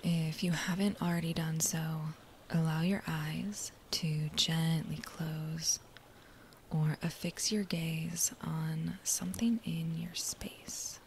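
A woman guiding a body scan meditation in a soft, breathy near-whisper, with a gentle, soothing manner and unhurried phrases. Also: sits low, steady tone. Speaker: meditation guide. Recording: faint background noise.

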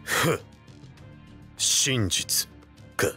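A stoic young tough from an anime game. He speaks slowly and tersely in a hard, forceful voice of medium pitch, with faint music behind. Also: exaggerated voice. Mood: cool contempt. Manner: terse, rough-spoken, cool. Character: gruff, stoic tough young male hero. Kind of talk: anime video game cutscene acting.

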